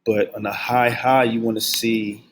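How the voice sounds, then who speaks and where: A young man teaching forex basics, keeping his voice low and subdued, and repeating key phrases over and over as he drills them in. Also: level tone, normal speed, slight background noise. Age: young adult. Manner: speaks low and subdued on purpose. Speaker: forex trading instructor. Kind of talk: screen-share trading lesson. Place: at his workplace.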